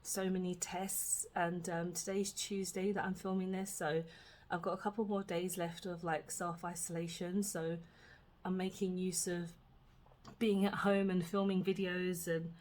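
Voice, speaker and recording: A British woman chatting casually through a makeup tutorial at home, her voice a little husky, rambling with many "um"s and "like"s. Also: on the low side, level tone, measured pace, a little mellow. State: slightly husky from illness. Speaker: beauty vlogger.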